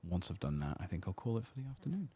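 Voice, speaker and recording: A man giving soft-spoken ASMR commentary as he plays a game, quiet and relaxed, murmuring to himself. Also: sits low, rapid-fire, husky. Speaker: gaming ASMR host.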